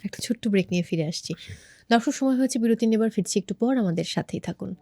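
A woman's voice: medium pitch, quick pace, loud music behind the voice. A woman with a Bangladeshi accent hosting a television interview in a conversational presenting style. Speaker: talk show host.

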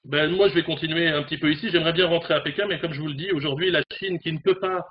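A man in his forties reporting as a correspondent on a remote news discussion, animated, speaking from a room at home over a webcam. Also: on the high side. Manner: fast, animated, talks with hands. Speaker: correspondent in South Korea. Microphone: video call webcam. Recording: dull video call audio, faint noise.

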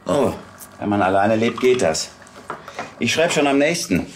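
An actor playing a polite, courteous doctor in a TV crime drama, conversational in manner. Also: male voice, medium pitch, very animated, measured pace, a little hiss or hum. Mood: relaxed hosting. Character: smooth, polite male doctor living alone. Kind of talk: naturalistic TV crime drama acting.

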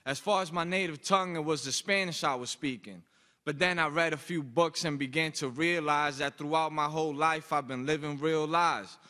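A young man speaks in a bright, forceful voice pitched a little high. He delivers like a spoken-word poet, rhythmic and emphatic. His voice rings out through a microphone with the long reverb of an auditorium. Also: normal speed. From Boston, United States. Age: young adult. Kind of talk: live spoken-word poetry performance. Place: large auditorium stage, indoors. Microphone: microphone on a stand.

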